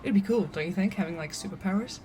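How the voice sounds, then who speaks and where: An actress as a playful young woman in audio drama dialogue, with a mid-pitched voice. She is breezy, eager and excitable, with faint ambient noise behind her. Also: fast, lightly acted. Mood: excited. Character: excitable young female coworker, playful believer in demons.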